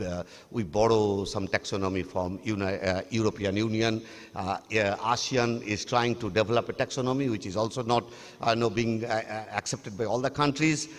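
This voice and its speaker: A man giving a formal conference presentation, pausing often with fillers and restarts. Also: on the low side. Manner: halting, frequent uh and restarts. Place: large conference hall. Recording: reverberant hall.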